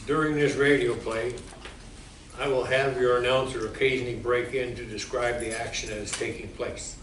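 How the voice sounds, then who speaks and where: A mid-pitched man emcees a stage show with informal remarks and dry, joking asides. He is heard from a distance in an echoey room. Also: flat delivery, normal speed, fairly bright tone. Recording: echoey distant hall recording with noise.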